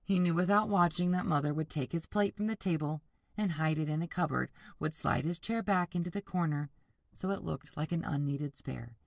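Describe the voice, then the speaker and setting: A woman teacher reading a novel aloud to students, pausing to explain and ask them to picture things. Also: low voice, gravelly, dry recording. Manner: patient, explanatory, addresses students directly. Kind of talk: classroom read-aloud with discussion breaks.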